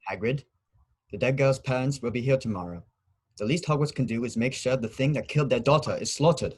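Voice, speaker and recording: A man plays a polite but cold teenage schoolboy in a drama read-through. He speaks formally and with measured care, at a medium pitch, with a husky edge. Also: darkish tone, natural voice. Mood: cold resolve. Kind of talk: amateur online table read of a screenplay.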